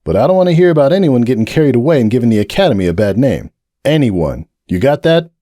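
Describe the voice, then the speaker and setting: A man's voice: medium pitch, sing-song, fast, mellow, dry recording, studio quality, pitched above the performer's own voice, lightly acted. A man voicing a serious young stallion who captains a sports team and lectures firmly. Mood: stern.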